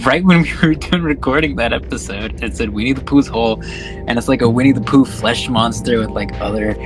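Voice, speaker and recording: A man's voice: medium pitch, brisk. A man co-hosting a podcast, chatting casually about films in slangy, profane, laid-back buddy talk. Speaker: podcast host. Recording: music playing behind the voice. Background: music.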